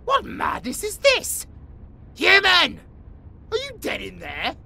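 Pompous British tiger-boy, bright forceful tone, slow grand delivery, theatrical tsundere cat for audio roleplay. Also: extremely high pitch, huge pitch swings, creaky, exaggerated voice. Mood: puzzled, impatient. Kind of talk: comedic audio roleplay acting.

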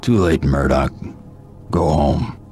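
An actor playing a grim, sardonic vigilante in a video game, speaking in terse deadpan with a gravelly voice. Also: male voice, slow pace, soft background music, full character voice. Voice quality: deep gravelly voice. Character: grim, muscular male vigilante, curt and sardonic. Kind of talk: video game cutscene acting.

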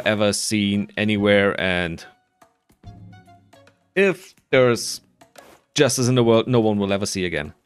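Animated, slow-paced man commenting on a game. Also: on the low side, clear, resonant tone. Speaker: gaming video creator. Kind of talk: casual playthrough commentary.

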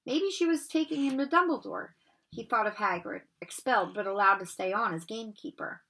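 Bright-voiced woman reading a story aloud. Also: a little high, brisk, creaky, rough recording. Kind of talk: expressive children's novel read-aloud. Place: bedroom at home, indoors.

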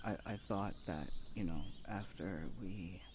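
A man's voice: low-pitched, a little slow, noisy background. A young man playing a shy, nerdy college student in an ASMR roleplay, hesitant and flustered as he rambles nervously. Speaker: voice actor. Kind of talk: ASMR boyfriend audio roleplay. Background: birds and outdoor ambience.

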